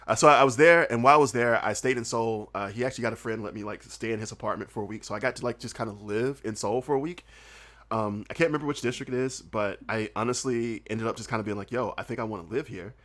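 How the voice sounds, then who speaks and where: A young man with a faint Southern US accent, telling stories as a podcast guest, animated and laughing often, full of "like" and "uh". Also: mid-range voice, fast talker. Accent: Southern US, mostly hidden. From southern United States. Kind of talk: casual storytelling chat.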